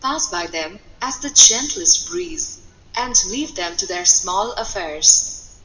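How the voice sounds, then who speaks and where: Solemn female master mage for fantasy game: stately, unhurried, echoing, slightly dull audio. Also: medium pitch, lively intonation, bright, forceful tone, a little hiss or hum. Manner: stately, measured, formal. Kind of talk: fantasy video game acting. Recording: echoey hall reverb, dull game audio.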